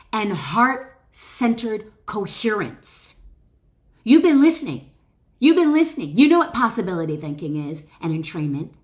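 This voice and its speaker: A woman's voice: medium pitch, darkish tone. A woman author and wellness educator reading her own self-help book expressively, with motivational emphasis, stressed key phrases and informal asides.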